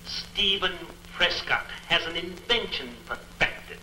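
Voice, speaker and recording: Serial mastermind, higher-pitched male, imperious, menacing, deliberate pace, noisy old film sound. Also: animated, bright, forceful tone, roomy sound, full character voice. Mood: commanding. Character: invisible male villain mastermind, commanding and menacing. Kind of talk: 1940s film serial acting.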